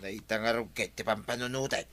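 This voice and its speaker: A man's voice: on the low side, steady tone, a little fast, sharp tone. A man with an Ilocano Filipino accent playing a scheming village husband in a radio comedy drama. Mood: pondering. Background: music.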